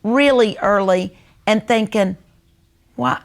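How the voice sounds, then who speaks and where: A woman's voice: mid-range voice, slow, creaky. Southern American woman reminiscing casually. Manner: folksy storytelling, acts out remembered family dialogue. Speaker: host.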